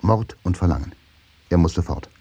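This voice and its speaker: A man narrating a German radio play from a script in a measured, brooding and intense dramatic style. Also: low voice, animated, dark tone, husky, no echo.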